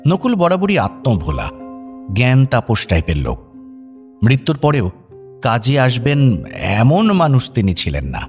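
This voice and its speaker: A man narrating a scripted radio story in a wry, expressive way. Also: medium pitch, normal speed, long pauses, soft background music.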